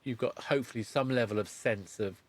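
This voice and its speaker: A middle-aged man hosting a podcast interview in a conversational, rambling way, often breaking off and correcting himself. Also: mid-range voice. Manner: halting, rambling, self-correcting. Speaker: podcast host interviewing a guest.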